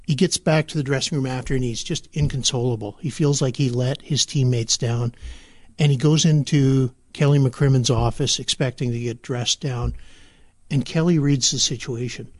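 A man's voice: medium pitch, steady tone, moderate pace. Male sports reporter telling stories. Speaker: newspaper sports reporter, guest. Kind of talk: casual podcast interview.

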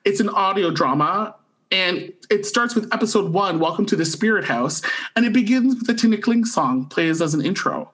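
A man's voice: high-pitched, animated, moderate pace, fairly bright tone. A man. He talks as a podcast co-host sharing a casual review, enthusiastic and emphatic in his delivery. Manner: effusive, emphatic.

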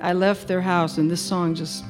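A woman's voice: lowish voice, level tone, normal speed, soft background music. A middle-aged woman singer-songwriter introducing a song in casual, rambling, reflective stage talk.

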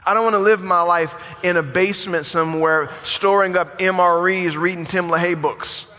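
Bright, high-voiced preaching pastor. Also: male voice, steady tone, moderate pace. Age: 40s. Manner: preaching with rising emphasis and call-and-response. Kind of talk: sermon. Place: church sanctuary. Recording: long hall reverb.

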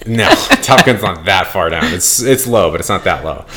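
A low-voiced American man from Boston co-hosting a film podcast. He talks casually and bluntly, with strong opinions and swearing. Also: lively intonation, normal speed, sharp tone. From Boston, United States. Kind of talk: casual chat.

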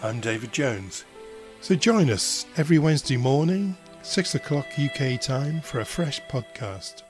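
A man's voice: mid-range voice, expressive, a little slow. A man co-hosting a podcast, giving the show opening and asking a guest polite, courteous questions. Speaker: podcast co-host. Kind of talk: podcast intro and interviewing. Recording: dry voice with faint music behind.

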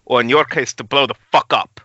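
Guy playing wounded sardonic cameraman in a script read, super piercing bright voice, muffled call audio. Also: mid-range voice, a little fast, husky. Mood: cold, bitter. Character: bitter, coldly sardonic injured cameraman. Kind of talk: amateur screenplay table read over video call. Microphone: internet video call. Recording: dull lo-fi internet call.

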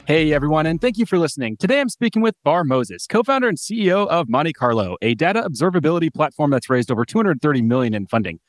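A man hosting an interview podcast who asks brisk, prepared questions. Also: on the high side, very animated, dry recording, clean studio sound, faint music behind. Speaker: podcast host.

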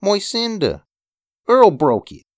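Woman as an anxious, slow-speaking small boy. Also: mid-range voice, huge pitch swings, darkish tone, no echo, clean studio sound, pitched above the performer's own voice. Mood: demanding. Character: small anxious boy, demanding but unsure.

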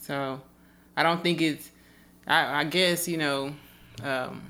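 Bright-toned woman in reflective chat. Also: deep voice, fairly even intonation, takes it slowly. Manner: reflective, questioning, with restarts. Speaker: podcast co-host. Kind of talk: casual conversation.

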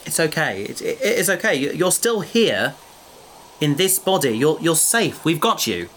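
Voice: mid-range voice, very animated, moderate pace, fairly bright tone, slight background noise. An actor playing a caring, practical space adventurer in a sci-fi audio drama, with an earnest, reasoning way of talking. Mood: soothing, tender.

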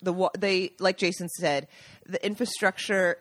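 A woman's voice: fairly low pitch, steady tone, moderate pace, fairly bright tone. A woman co-hosting a casual podcast chat, chatty and playfully teasing. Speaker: podcast co-host.

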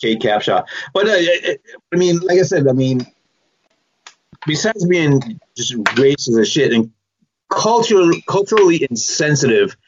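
A man co-hosting a casual podcast, halting with fillers and blunt in his criticism. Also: fairly high pitch, big pitch swings, highs cut off, a little hiss or hum. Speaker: podcast co-host. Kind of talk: casual chat.

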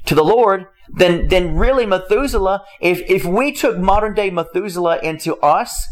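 High-voiced man preaching with emphatic repetition. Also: normal speed. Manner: emphatic, repeats phrases, asks rhetorical questions; acts out quoted listeners' excuses in other voices. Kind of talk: motivational sermon.

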